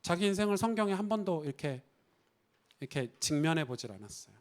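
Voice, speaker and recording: A man from Korea giving a Sunday sermon in a church, with a voice a little higher than most men. He talks conversationally, asks his listeners questions and acts out short quoted lines. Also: animated, fast talker. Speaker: pastor.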